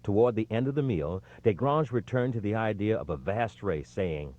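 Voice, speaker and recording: A man with a lowish voice narrates an audiobook in a scripted, storytelling read. His intonation swings widely, with emphatic phrasing. The audio is slightly dull and lo-fi. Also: expressive, normal speed. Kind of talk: scripted narration, reading a history book aloud.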